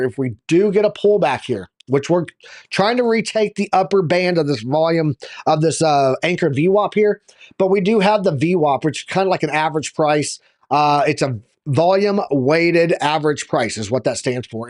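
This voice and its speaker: A slightly hoarse man hosting a live market show, giving casual chart commentary and addressing viewers informally like buddies. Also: on the high side, darkish tone.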